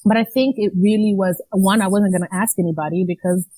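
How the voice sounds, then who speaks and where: A young woman from Rwanda talks candidly as a podcast guest, her breathy voice coming through in a dry close recording. She speaks at a middling pitch, chatty and loose with fillers and restarts. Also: fairly even intonation, fairly quick, warm tone, no room sound. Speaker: guest, nonprofit founder. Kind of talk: casual conversational interview.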